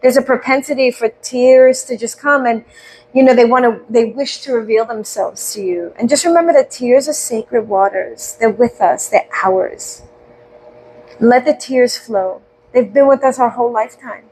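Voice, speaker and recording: A woman leading a guided breathing meditation, speaking slowly and soothingly with gentle questions and audible sighs. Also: a little high, slight background noise, rough recording.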